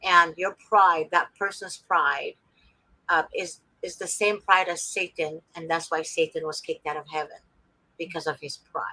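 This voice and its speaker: A woman's voice: sits low, steady tone, normal speed, pushed and bright, no echo, poor audio. A woman hosting a casual talk show, speaking passionately and turning preachy as she cites scripture.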